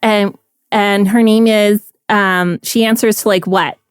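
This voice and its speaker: A woman's voice: mid-range voice, fairly even intonation, slow, clean tone, no echo, studio quality, close to an everyday voice. A woman playing a flighty, oblivious, casual female adventurer in a fantasy game, rambling with lots of slangy filler. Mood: oblivious, chatty. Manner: rambling valley-girl slang, full of "like".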